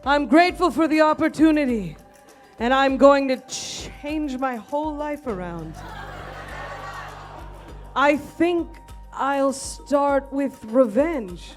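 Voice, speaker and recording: A vengeful contestant, played by a woman, signs off with slow, dry sarcasm. Her voice sits high. She lets each word hang. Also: animated, faint music behind. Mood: sardonic. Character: bitter ousted young female contestant, vengeful.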